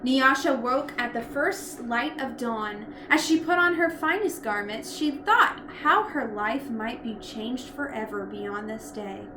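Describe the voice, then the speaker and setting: A woman reading a storybook aloud in an expressive narrating style. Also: on the high side, measured pace, few pauses. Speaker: storybook reader. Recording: echoey room with loud background noise.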